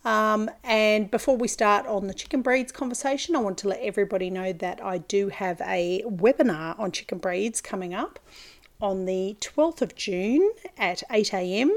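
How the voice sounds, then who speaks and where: This is an Australian woman hosting a farming podcast on her own. Her voice is hoarse and gravelly as she gets over a chest infection. She talks in a loose, chatty way, full of fillers and little restarts. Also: mid-range voice. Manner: chatty and rambling, with many fillers and self-corrections. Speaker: podcast host and farmer. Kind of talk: casual solo podcast chat.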